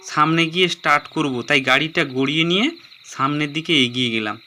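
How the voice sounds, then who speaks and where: A man's voice: mid-range voice, pushed and bright, a little hiss or hum. A man narrating a dramatized first-person horror story. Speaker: narrator and voice actor.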